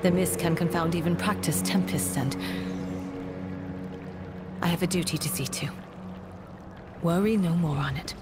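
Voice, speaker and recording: An actress playing a dutiful, weary seafaring woman guide in a video game, measured and earnest, over music. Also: lowish voice, close to an everyday voice. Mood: earnest, resolute. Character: dutiful, weary adult woman guide and spirit-rite sailor. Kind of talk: video game character acting. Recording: loud game music underneath.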